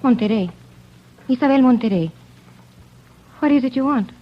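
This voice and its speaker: A reluctant married woman in a vintage radio crime drama. Her voice sits fairly high and she answers in careful, halting phrases. The old recording is dull and muffled. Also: expressive. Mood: wary. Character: guarded, uneasy married woman protecting family secret.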